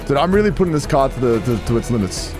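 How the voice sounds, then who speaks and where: A man's voice: mid-range voice, animated, quick pace. A young man giving casual, joking commentary while playing a game, thinking aloud and swearing freely. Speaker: gameplay streamer. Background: game music.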